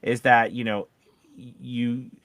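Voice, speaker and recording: A man's voice: mid-range voice, level tone, slow pace, a bit bright, no room sound. Conversational man hosting, dry close sound. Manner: rambling, conversational, asks questions. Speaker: podcast host and voice-over coach. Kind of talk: casual podcast discussion.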